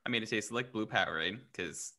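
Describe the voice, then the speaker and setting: A man's voice: fairly low pitch, brisk, sharp tone. A man on a podcast chatting casually in an offhand, wry way. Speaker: podcast guest co-host. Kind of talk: casual comedic chat.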